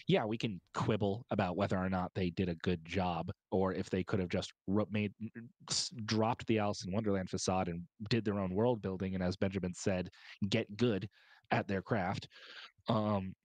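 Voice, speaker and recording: A man's voice: on the low side, steady tone, normal speed, no room sound. A man speaking as a podcast panelist, explaining his points at length in a wordy, emphatic, step-by-step way.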